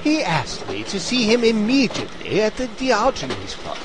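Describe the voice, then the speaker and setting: An actor playing a fussy, formal detective in a comic audio drama. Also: male voice, sits high, wildly swooping pitch, normal speed, background noise. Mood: fussy, impatient. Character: brisk, pompous, fussy English great detective. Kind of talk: audio comedy drama acting.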